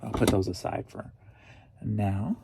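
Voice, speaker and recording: A man's voice: lowish voice, measured pace, creaky, heavy background noise. A man in his forties from Missouri, chatting casually and jokingly to himself while packing online orders. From St. Louis, Missouri. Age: 40s. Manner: goofy, jokey, talks to himself. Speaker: online reseller.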